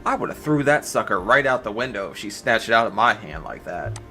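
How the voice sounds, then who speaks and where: A man's voice: fairly low pitch, very animated, brisk, bright, forceful tone, faint music behind. A man giving casual live reaction commentary in a blunt, exclamatory, foul-mouthed way. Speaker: reaction streamer.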